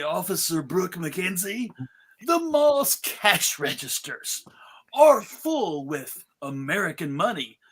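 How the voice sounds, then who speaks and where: A man's voice: high-pitched, pushed and bright, heavily acted. A man playing a stiff, self-serious TV crime-fighting hero in a formal, overdramatic way.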